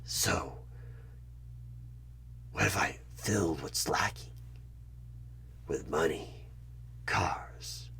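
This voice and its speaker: A man playing a sinister devilish tempter in a horror ASMR roleplay, slow and coaxing with a breathy, husky half-whisper and menace. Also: rumbling low, exaggerated voice. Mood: tempting, probing. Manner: slow, menacing, coaxing, with sudden loud bursts.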